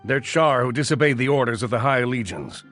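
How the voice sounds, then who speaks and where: An actor playing an urgent human military commander in a video game cutscene, with a clipped, commanding delivery. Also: male voice, medium pitch, expressive, brisk, fairly bright tone, faint music behind, close to an everyday voice. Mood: grim, urgent.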